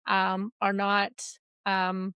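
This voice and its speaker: A woman host born in Iran and raised in Canada, speaking in a measured, hesitant way as she thinks aloud. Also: medium pitch, monotone, clear, resonant tone, no room sound, highs cut off. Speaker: podcast host. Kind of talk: reflective conversation.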